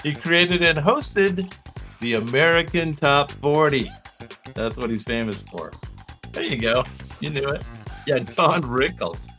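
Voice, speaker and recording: A man's voice: a little high, expressive, a little slow, bright, forceful tone, faint music behind. A man hosting a casual live trivia show, reading out facts and adding chatty, rambling asides.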